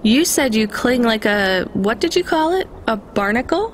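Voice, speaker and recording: An actress playing a sceptical, independent young delivery driver in a video game, matter-of-fact and guarded. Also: female voice, fairly high pitch, expressive, normal speed, a little hiss or hum, natural voice. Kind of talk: naturalistic video game dialogue.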